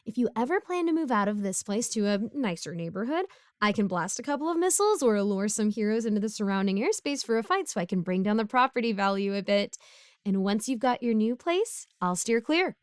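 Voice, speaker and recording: A woman's voice: medium pitch, very animated, fairly quick, clean tone, no room sound, clean studio sound, close to an everyday voice. A woman playing a broke, bumbling, chatty supervillain neighbor in a casual audio roleplay, rambling awkwardly as she pleads and justifies herself. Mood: sheepish, chatty.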